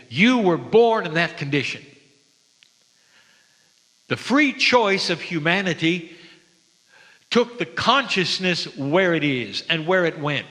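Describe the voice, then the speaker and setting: A man speaks as a spiritual teacher in a large hall, with long reverb trailing his words. His voice sits a little high for a man. He takes his time, gentle and reverent, leaving dramatic pauses between phrases. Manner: slow, deliberate, with dramatic pauses. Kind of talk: spiritual sermon to an audience.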